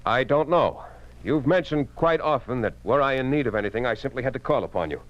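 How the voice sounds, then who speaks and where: A man's voice: mid-range voice, expressive, fairly quick, lightly acted. An actor playing a stern, principled newspaper boss in a 1950s radio drama, commanding and pointed in his questions, on a muffled old recording. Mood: firm, troubled.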